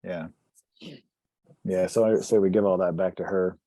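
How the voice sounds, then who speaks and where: A man's voice: deep voice, steady tone, moderate pace, mellow, dry recording. A man chatting casually as a player in a tabletop role-playing game.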